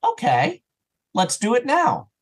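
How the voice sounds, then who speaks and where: A man chatting casually as an interview guest, animated and often voicing his own thoughts as quoted self-talk. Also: very high-pitched, slow, a little hiss or hum. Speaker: podcast guest, audio drama creator and voice actor.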